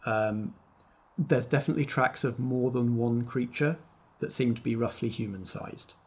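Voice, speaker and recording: A man narrating a tabletop roleplaying game as game master, in a measured, descriptive storytelling manner. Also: medium pitch, breathy edge. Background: faint background music.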